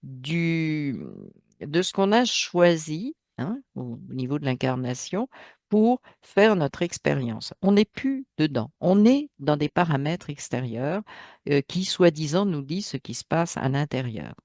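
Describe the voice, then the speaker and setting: A man's voice: on the high side, big pitch swings, unhurried, a little mellow, dry recording, studio quality. A man hosting his own channel, giving a spoken monologue in a hesitant way with many fillers, restarts and emphatic asides. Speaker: channel host.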